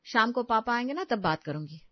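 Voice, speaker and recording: A woman's voice: a little high, animated, fairly quick, no echo, muffled recording. A woman voicing a patient, burdened young housewife and mother in a story. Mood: gentle, weary. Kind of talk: dramatised dialogue in an audio story.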